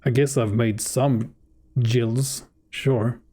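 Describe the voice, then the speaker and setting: A man's voice: mid-range voice, drawn-out words, husky. A man whose first language is Swedish, joking and reacting casually while streaming a game. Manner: relaxed and joking, with frequent fillers. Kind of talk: casual live gameplay commentary.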